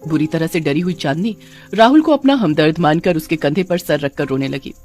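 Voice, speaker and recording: A woman's voice: sits low, lively intonation, fairly quick, darkish tone, soft background music. A woman narrator reading a scripted cartoon story.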